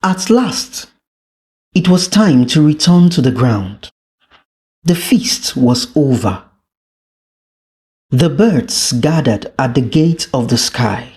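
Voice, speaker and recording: A storyteller narrates a scripted folktale at a very unhurried pace. The delivery is deliberate and dramatic, with a middling pitch. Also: darkish tone.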